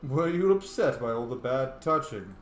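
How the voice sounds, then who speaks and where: Male gamer host: lively pitch, informal playthrough chatter, noticeably roomy sound. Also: moderate pace. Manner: informal, reacts aloud, mutters on-screen text. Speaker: gaming video host, player. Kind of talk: casual playthrough commentary. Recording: roomy echo, faint background noise.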